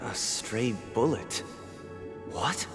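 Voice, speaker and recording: An actor playing a refined, courteous young head of a noble family in a game, speaking formally and eloquently with measured composure. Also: male voice, medium pitch, over loud music. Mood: puzzled, concerned. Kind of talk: scripted game dialogue and storytelling.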